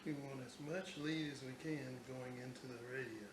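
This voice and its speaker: Man narrating hands-on work, roomy noisy recording. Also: medium pitch. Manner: muttering to himself while working, halting fragments. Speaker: hobbyist radio restorer. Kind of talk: casual hands-on workbench narration. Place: indoor workbench room.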